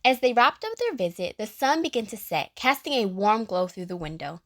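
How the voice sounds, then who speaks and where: Woman narrator, medium pitch, animated intonation, scripted storybook reading, children's audio drama. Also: moderate pace.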